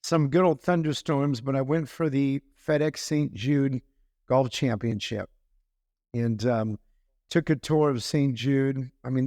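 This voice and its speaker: A man's voice: medium pitch, a little mellow, dry recording, clean studio sound. A man co-hosting a podcast in casual chat, easygoing and a bit rambling. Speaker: podcast co-host.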